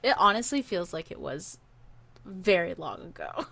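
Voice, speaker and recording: A young woman from Hawaii co-hosting a podcast, telling a story in a lively, laughing way. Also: lowish voice, moderate pace, gravelly, slight background noise. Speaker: podcast co-host. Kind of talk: casual storytelling.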